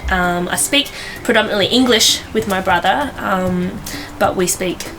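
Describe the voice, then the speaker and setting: A woman's voice: mid-range voice, normal speed, over music, low-quality recording. A woman, answering interview questions outdoors, thinking aloud with plenty of fillers. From Australia. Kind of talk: documentary interview. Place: outdoors in front of a hedge.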